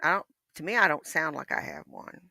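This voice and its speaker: A woman's voice: low voice, a little fast, creaky, bright, forceful tone, no room sound, clean studio sound. A woman with a Southern US accent chatting casually in a rambling, playful way. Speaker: livestreamer. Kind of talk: casual chat.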